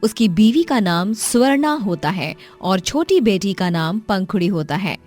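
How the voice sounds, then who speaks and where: A woman with a medium-pitched voice narrates a scripted moral tale. She tells it as a storyteller for a children's cartoon. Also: darkish tone.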